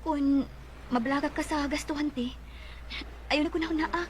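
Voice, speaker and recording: A woman's voice: sits high, fairly even intonation, normal speed, slight background noise, natural voice. A woman with a Filipino Bisaya accent playing a caring, worried younger sister who coaxes and frets in a radio drama. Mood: earnest. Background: music.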